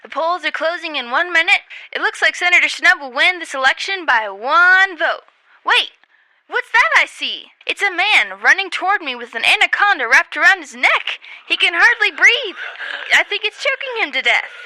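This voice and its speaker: A woman's voice: very high voice, lively intonation, normal speed, pushed and bright, dry recording, full character voice, low-quality recording. A woman playing an earnest, dramatic on-the-scene news reporter in a radio comedy. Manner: announcer-like, dramatic. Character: earnest female field news reporter at a polling place.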